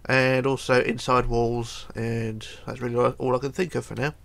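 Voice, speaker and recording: A man's voice: mid-range voice, level tone, moderate pace, creaky. A middle-aged man with a cold talks through a casual game build tutorial at his home computer desk, chatting and counting blocks aloud, often mumbling as he goes. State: hoarse with a cold. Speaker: tutorial host.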